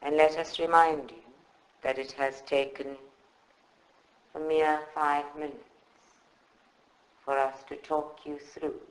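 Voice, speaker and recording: Slow, echoey male meditation guide. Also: on the high side. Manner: calm, soothing, measured instruction with long pauses. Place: indoor room at a group meditation session. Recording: roomy, echoing live recording.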